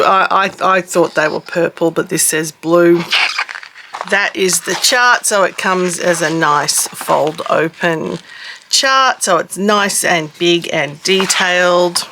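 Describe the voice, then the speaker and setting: A middle-aged woman hosting a casual craft unboxing, her voice rough and husky from a cough, chatty and self-deprecating. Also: fairly low pitch, takes it slowly, noisy background. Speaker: cross-stitch vlogger host.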